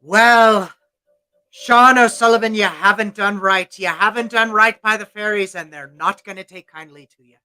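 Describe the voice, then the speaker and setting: A woman's voice: medium pitch, normal speed, pushed and bright, clean tone, lightly acted. A woman voicing a grumpy, weary leprechaun cobbler who scolds in exasperated, folksy storybook speech. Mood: reproachful.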